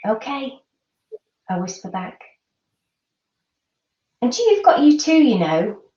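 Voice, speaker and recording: A woman reading her own poem with deliberate pauses and expressive phrasing. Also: medium pitch. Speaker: poet. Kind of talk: poetry reading. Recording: echoey, distant, dull microphone.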